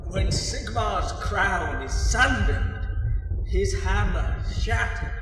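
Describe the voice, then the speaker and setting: A man playing a fanatical, grandiose prophet of doom in a portentous, declaimed fantasy monologue. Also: high voice. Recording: distant echoing voice, clearly noisy background.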